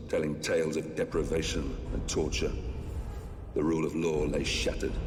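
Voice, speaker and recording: A grim Roman soldier narrates his vengeance in an extremely deep, slow voice. He is solemn and driven, weighing each phrase like a cinematic game hero, with long reverb around him. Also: darkish tone. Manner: solemn, deliberate, portentous. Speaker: video game protagonist and narrator.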